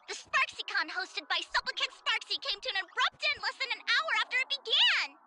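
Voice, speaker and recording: An actress playing a perky, excitable news co-anchor in a video game, announcing in a gushing, squeaky voice. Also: female voice, a little fast, a little hiss or hum, heavily acted. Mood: excited.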